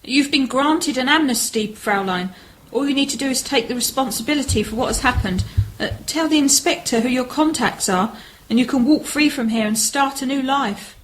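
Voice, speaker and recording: A woman's voice: sits high, moderate pace, slight background noise, natural voice, poor audio. A woman playing an indignant, emotional wife caught up in a spy case in an amateur radio play. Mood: composed. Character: proud wronged wife turned detective.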